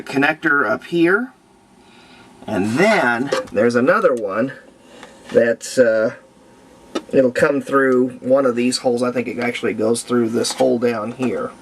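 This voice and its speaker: A man narrating his repair of an old radio in a halting, casual way, thinking aloud as he works. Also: medium pitch, vocal fry, a little hiss or hum. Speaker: radio restorer.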